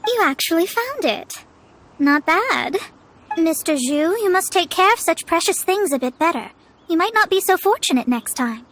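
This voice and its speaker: A woman's voice: extremely high pitch, sing-song, moderate pace, fairly bright tone, a little hiss or hum, full character voice. A woman voicing a proud young female astrologer in an anime game, formal and self-important. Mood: composed. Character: proud young female astrologer, self-styled master, haughty yet flustered. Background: game music.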